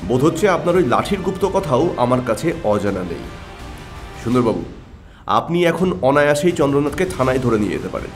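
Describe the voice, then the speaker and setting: A man playing a calm, shrewd and confident detective in an audio drama, speaking in a composed, deliberate way. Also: medium pitch, soft background music, pitched below the performer's own voice, lightly acted.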